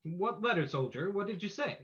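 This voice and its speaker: A man playing a suave, calm, inquisitive gentleman sleuth in an old-time radio mystery reading. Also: sits high, a little fast. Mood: calm, probing. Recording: dull, lo-fi sound.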